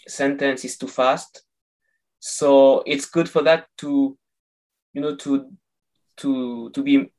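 A man who speaks English as a second language with a non-native accent, explaining things haltingly in a conversational interview as a voice coach. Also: medium pitch, low-quality recording. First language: not English. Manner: halting, explanatory, searching for words.

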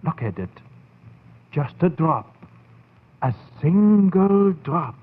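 A man's voice: a little high, lively intonation, slow pace, a little hiss or hum. An actor playing a sly, proud German doctor in a 1940s radio horror drama, theatrical and insinuating in his emphasis. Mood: sly, tempting. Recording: tinny phone-like 1940s radio recording.

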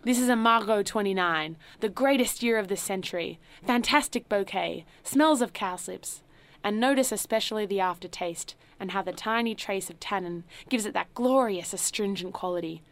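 Woman putting on a loud blustering rich man. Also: medium pitch, normal speed, close to an everyday voice. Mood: pompous. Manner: loud, blustering, ungrammatical slang. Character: brash boastful nouveau-riche man, loud and coarse.

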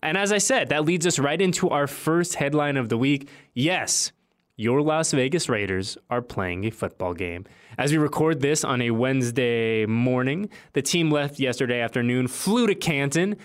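A man's voice: medium pitch, normal speed. Upbeat, emphatic male sports podcast host. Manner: animated, emphatic, repeats phrases for emphasis.

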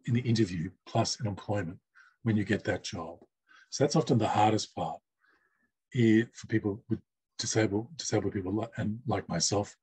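A man interpreting for a deaf guest, speaking in the first person. He gives measured, list-like answers with short pauses. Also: on the low side, a little mellow, slightly rough, dry recording.

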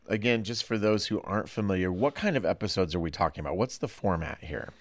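A man's voice: deep voice, fast. A man hosting a panel conversation in a playful, teasing, conversational way. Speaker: podcast host and interviewer.

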